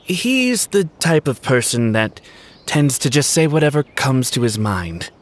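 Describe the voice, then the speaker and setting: Polite young peacemaker, very animated pitch. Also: male voice, a little slow. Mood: apologetic, informative. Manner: polite, conciliatory. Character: friendly, apologetic, easygoing young male event organiser. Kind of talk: anime game character acting.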